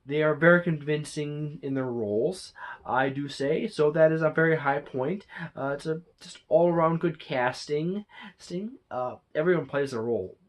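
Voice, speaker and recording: A person giving a casual, unscripted review monologue in a halting, rambling way, often correcting themselves. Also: mid-range voice. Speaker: movie reviewer and channel host.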